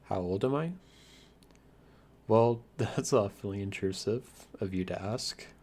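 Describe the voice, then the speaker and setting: A man playing a shy, lonely, youthful vampire lord in an ASMR roleplay, stammering earnestly with hesitant, softly formal manners. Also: fairly low pitch, measured pace. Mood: shy, amused. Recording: clear background hiss and noise.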